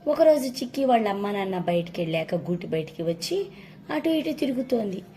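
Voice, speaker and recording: A woman's voice: mid-range voice, very animated, brisk, mellow. A woman narrating a children's story as a storyteller. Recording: faint background noise.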